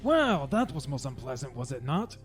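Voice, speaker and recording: A man's voice: medium pitch, big pitch swings, normal speed, over music, lightly acted. An actor playing a suave, menacing older man in an audio drama, speaking with formal, elaborate politeness. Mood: smooth menace.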